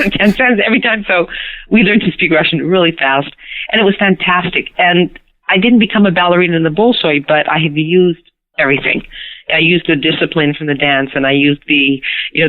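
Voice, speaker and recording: A middle-aged woman from Canada, whose first language is Hungarian, chatting as a guest actress in an interview. She talks in a lively way, laughs often, and repeats words as she starts her sentences. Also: low voice. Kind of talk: casual interview chat. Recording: tinny phone line.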